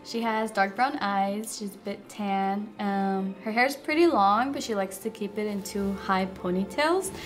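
A woman's voice: medium pitch, a little slow, few pauses, soft background music. A young woman chatting casually as a player in a tabletop roleplaying game. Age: young adult. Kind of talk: casual chat. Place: indoor studio set, seated at a table.

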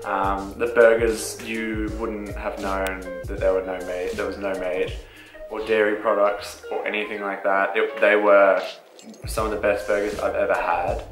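A young man co-hosting a casual vlog, speaking in a relaxed, eager way. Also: lowish voice, a little slow, echoey room, over music, low-quality recording. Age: young adult. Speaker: vlog co-host. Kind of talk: casual chat. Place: bright indoor room, seated.

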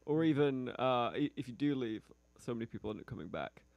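A male radio host with a foreign accent, interviewing a musician in a relaxed, hesitant way. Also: medium pitch, a little fast, no room sound, dull audio. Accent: foreign, non-local. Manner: relaxed, hesitant, many fillers. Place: small radio studio. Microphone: studio mic on a stand.

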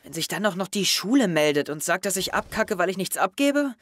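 A man's voice: sits high, sing-song, a bit bright, dry recording. A young male actor playing a worried, defensive teenage schoolboy in an audio drama, speaking hesitantly. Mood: worried, musing. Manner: hesitant, halting. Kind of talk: scripted audio drama dialogue. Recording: music under the voice. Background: background music.

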